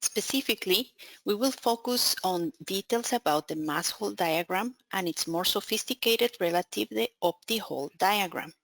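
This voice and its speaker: A woman with a Spanish accent reads a prepared webinar introduction in a careful, steady voice. The sound is dry and close, with no room around it. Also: medium pitch, normal speed, gravelly, dry recording, poor audio. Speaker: account manager presenting a webinar. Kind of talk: scripted webinar presentation.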